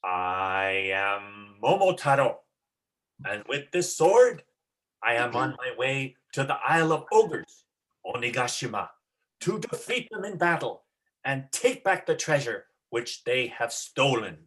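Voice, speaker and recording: A performer as a slow, solemn boy hero. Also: mid-range voice, big pitch swings, fairly bright tone, low-quality recording. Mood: bold. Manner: solemn, deliberate declaiming. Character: earnest, brave fifteen-year-old boy hero. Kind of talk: amateur dramatic reading of a folktale.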